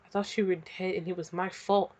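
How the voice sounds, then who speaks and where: A woman reading a hushed, pained young man. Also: on the low side, level tone, fairly quick, lightly acted. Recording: dull lo-fi.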